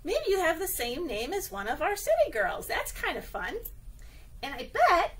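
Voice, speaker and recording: A woman's voice: very high voice, huge pitch swings, moderate pace. A woman reading a picture book aloud at story time for young children, with sing-song, exaggerated expression and frequent little check-in questions.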